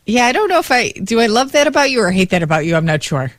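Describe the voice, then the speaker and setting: Brief: female co-host, lively teasing banter, dry close mic, faint music underneath. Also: fairly high pitch, fast, no echo. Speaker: radio morning show co-host. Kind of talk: casual morning radio chat.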